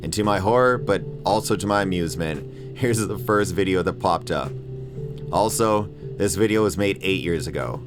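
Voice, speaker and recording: A man's voice: low-pitched, moderate pace. A man narrating a scripted comedic video essay as its host, with an irreverent, sarcastic and crudely joking manner. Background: background music with guitar.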